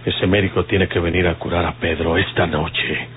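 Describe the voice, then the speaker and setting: A man plays a commanding outlaw chief with a low voice and a rural northern Mexican accent. He is rustic, firm and resolute. It sounds like an old radio serial, thin as a phone line, with some noise. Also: little pitch movement, normal speed, lightly acted. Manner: firm, colloquial, authoritative. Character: commanding male outlaw leader, rustic and determined. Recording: lo-fi hissy old radio recording.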